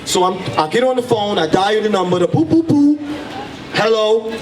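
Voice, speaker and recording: Animated Jamaican man telling a story live. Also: high voice, moderate pace, a little hiss or hum. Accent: Jamaican, mixed with New York. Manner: animated, switches into patois for quoted voices. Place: live event stage. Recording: roomy venue sound from far off.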